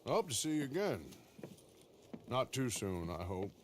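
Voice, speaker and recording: A resolute American frontier marshal, played by a man, with a mid-pitched voice. He is dry and plain-spoken, with faint noise behind him. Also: big pitch swings, normal speed, natural voice. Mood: sincere, grateful. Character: dry, resolute Old West lawman, male. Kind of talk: video game cutscene acting.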